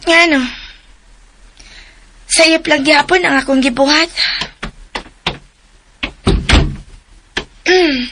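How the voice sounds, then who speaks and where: A woman's voice: high-pitched, fairly even intonation, slow, background noise. An actress playing a clingy, jealous young woman in an emotional radio drama, insistent and pleading, with a Cebuano Filipino accent. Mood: sulky, accusing. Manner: emotional, insistent, wailing when upset. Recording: radio drama with faint music bed.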